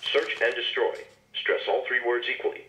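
A man's voice: medium pitch, steady tone, normal speed, pushed and bright, echoey room, slight background noise, low-quality recording. A man reading scripted instructions with careful enunciation, played from a cassette through a small toy speaker. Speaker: instructional tape narrator.

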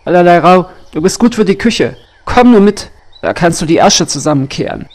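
A woman voicing a gruff, bossy royal huntsman in a fairy-tale storybook, speaking bluntly and loudly. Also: on the low side, lively intonation, moderate pace. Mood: bossy, condescending.

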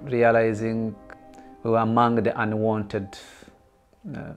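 A middle-aged man from Rwanda giving quiet, hesitant documentary testimony, often repeating words. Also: on the low side, completely flat delivery, slow pace, soft background music. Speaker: genocide survivor interviewee. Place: indoor living room.

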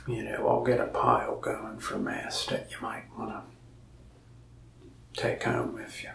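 Slow, gravelly soft-spoken salesman man. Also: lowish voice, big pitch swings, echoey room, distant mic. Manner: folksy, rambling salesman talk, soft and half-whispered. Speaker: ASMR creator playing a mask shop salesman. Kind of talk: soft-spoken ASMR roleplay. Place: indoors, small room in front of a wall of masks.

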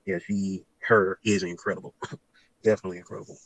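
A man's voice: sits low, flat delivery, fairly quick, gravelly, rough recording. A man chatting casually on a late-night live stream, rambling and using laid-back slang with lots of "you know".

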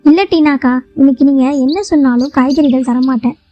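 A high-voiced cartoon bird vegetable seller voiced by a woman, honest and firm, with an indignant edge. The sound is a little dull, with faint music behind it. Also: fairly even intonation, a little fast, warm tone, close to an everyday voice. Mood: refusing. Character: honest female bird vegetable seller standing her ground. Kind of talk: children's cartoon moral story.

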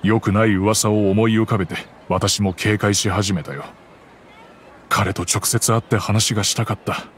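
An actor playing a gentle, regretful middle-aged merchant father who calmly recounts the past in a game cutscene. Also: fairly low pitch, moderate pace, a little hiss or hum, natural voice. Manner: calm, reflective storytelling.

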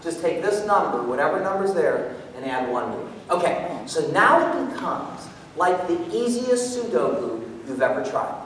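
A middle-aged man with a high voice presents a step-by-step demonstration to an audience. His animated explanation rings through a very reverberant auditorium, picked up from far off with some faint noise behind it. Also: moderate pace, few pauses. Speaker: presenter demonstrating mental math. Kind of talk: live lecture-demonstration to an audience. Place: large auditorium stage, indoors. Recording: echoey hall from far off, background noise.

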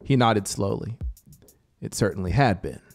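A man in his thirties reads a scripted story in a deliberate, dramatic manner with pauses, close on a studio microphone. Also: fairly low pitch, gravelly. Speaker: audiobook narrator. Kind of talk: scripted story narration over soundscape. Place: small home music studio, indoors. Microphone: close studio microphone with headphones. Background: atmospheric synth music.

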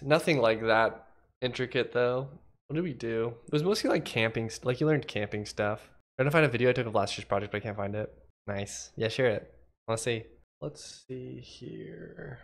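A young man from Washington state with a slightly nasal, congested voice and a cough, chatting casually with his livestream chat while he codes. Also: medium pitch. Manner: chatty, thinks aloud, reads chat aloud. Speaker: livecoding streamer.

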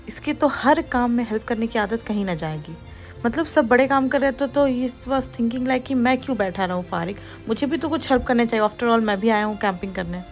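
A woman in her thirties narrating her family's camping trip as a vlog voice-over, chatty and mixing in English phrases. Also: mid-range voice. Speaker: vlogger. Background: faint music under the narration.

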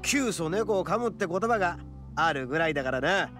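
A man voicing a boisterous, cocky young male oni in an anime-style game, with brash, rough, boastful speech. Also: a little high, expressive, a little fast, lightly acted. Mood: confident, explaining. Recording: studio voice over game music. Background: background music.

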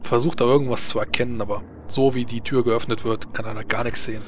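A man's voice: mid-range voice, steady tone, quick pace, slight background noise. A man casually narrating a tabletop roleplaying game as game master.

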